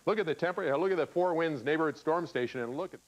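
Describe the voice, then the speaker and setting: American TV weatherman, slightly high voice. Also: racing, muffled recording. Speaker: TV weather forecaster. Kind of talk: ad-libbed live weather report with commentary on satellite maps.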